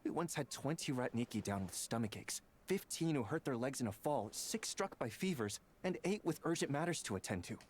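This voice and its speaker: A man's voice: medium pitch, expressive, fairly quick, few pauses, slight background noise, close to an everyday voice. A man voicing a wry, exasperated young officer in a video game, complaining theatrically over faint ambience.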